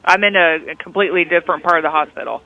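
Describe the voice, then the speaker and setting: A woman's voice: on the low side, fairly even intonation, rapid-fire. A woman speaks over a thin, tinny telephone line. She sounds like a nurse at an intake desk, firm and matter-of-fact, keeping to procedure. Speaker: nurse, hospital intake director. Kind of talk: businesslike phone conversation. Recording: tinny, narrowband phone line.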